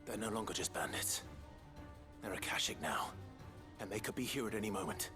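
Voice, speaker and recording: Actor as low, hushed, stoic hero. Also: male voice, expressive, lightly acted. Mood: grave warning. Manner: measured, grave, sincere. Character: stoic, steadfast, low-voiced heroic lord. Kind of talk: dramatic video game acting. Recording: loud music behind.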